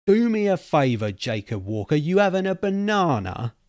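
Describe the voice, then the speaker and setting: A man's voice: a little high, very animated, moderate pace, a little mellow, dry recording, pitched above the performer's own voice. Man acting old man, Cockney, pub storyteller, emphatic, slangy, opinionated, storybook reading, dry sound. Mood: sharp.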